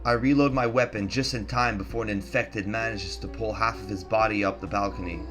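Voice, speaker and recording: A man's voice: fairly low pitch, steady tone, a little fast, over music. A man reading a first-person horror story in a tense, dramatic, scripted narration style.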